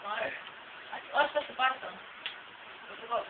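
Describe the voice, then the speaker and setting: High-voiced teen boy cooking. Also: moderate pace, reverberant, distant mic. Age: teenager. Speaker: home cook. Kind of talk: casual chat while cooking. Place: small home kitchen. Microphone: handheld camcorder. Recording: lo-fi phone-like camera audio with hiss.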